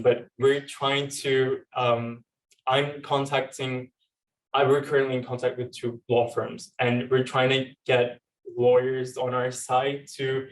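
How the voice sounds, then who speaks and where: This is a young man speaking at a panel event. His delivery is conversational and somewhat hesitant, and his pitch stays quite flat. He sounds some distance from the microphone, as in a live event recording. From Turkey. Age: young adult. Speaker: panel speaker, law student and activist. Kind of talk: informal panel talk with personal storytelling.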